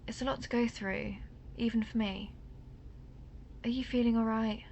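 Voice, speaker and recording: Audio roleplay: actress as female vampire, centuries old, dry-witted protector on the run, crackly fry in the voice, noisy rumbling track. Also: fairly even intonation, moderate pace, darkish tone, highs cut off. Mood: concerned, serious. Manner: dry, wry levity amid grim urgency. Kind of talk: roleplay audio drama, speaking to the listener. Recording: noticeable low rumbling background noise.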